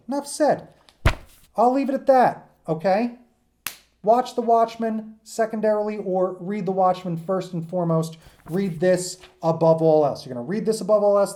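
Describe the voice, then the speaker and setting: A man's voice: high voice, mellow. A man giving an informal lecture to students in an enthusiastic, emphatic, rambling conversational way, as their teacher.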